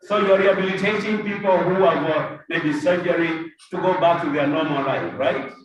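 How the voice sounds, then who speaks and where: A man's voice: a little high, brisk, highs cut off, a crowd in the background. Echoey, distant man moderating. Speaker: host and moderator. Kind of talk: informal questioning and clarifying. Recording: echoey room, distant microphone.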